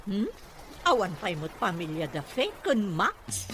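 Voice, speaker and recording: A woman's voice: lowish voice, sing-song, measured pace, a bit bright. A woman with a Filipino accent playing a nosy village gossip in a conversational audio drama. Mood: gossipy. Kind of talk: conversational Ilocano radio drama acting. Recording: faint background noise.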